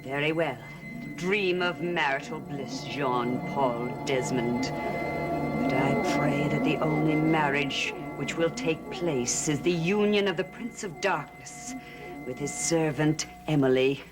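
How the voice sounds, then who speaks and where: An actress playing a sinister female cult servant in a 1970s TV soap opera, solemn and scheming. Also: slow pace. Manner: solemn, scheming, low intoning. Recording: music score behind voice.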